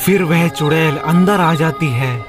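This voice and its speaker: A male narrator reads a cartoon story in a high-pitched voice. His delivery is scripted storybook narration. Background music is clearly present throughout. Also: unhurried.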